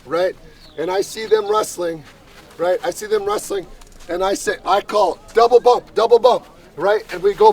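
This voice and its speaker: Coach type, high voice, picked up from a distance outdoors, checks "right?" after each point. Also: male voice, vocal fry, a little mellow. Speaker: combat coach. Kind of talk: hands-on seminar instruction.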